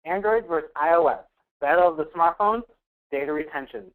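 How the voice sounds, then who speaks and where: Man moderating a webcast, slightly high. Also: brisk, fairly bright tone, rough recording. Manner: formal, announcer-like. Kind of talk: scripted introduction.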